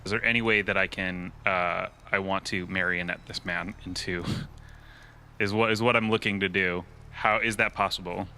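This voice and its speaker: A man's voice: low voice, fairly even intonation, normal speed, sharp tone, slight background noise. A man playing a tabletop role-playing game, casually describing what his character does. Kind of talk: casual improvised game talk.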